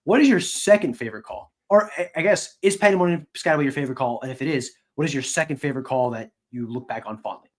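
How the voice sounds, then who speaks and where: A man's voice: medium pitch, fast, few pauses. An American man co-hosting a podcast, speaking in a casual sports-analysis style.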